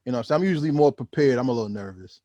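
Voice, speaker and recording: A man hosting an interview, enthusiastic and rambling, sometimes rushing into fast speech. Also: mid-range voice, expressive, mellow, no echo, muffled recording. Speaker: interview host. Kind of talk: casual interview.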